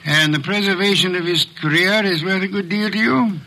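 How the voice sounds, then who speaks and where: Pompous, bright-voiced older radio detective. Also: male voice, high voice, fairly quick, poor audio. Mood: imperious, businesslike. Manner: formal, precise, dismissive diction. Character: pompous, unflappable, erudite man who runs a detective practice. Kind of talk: 1940s-50s radio drama acting.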